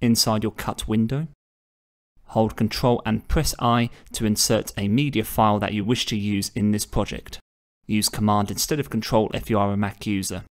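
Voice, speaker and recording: A man with a fairly low voice walks through a software tutorial step by step. His delivery is measured and instructional, like a channel host explaining each click. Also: fairly even intonation. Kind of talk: step-by-step screen tutorial narration.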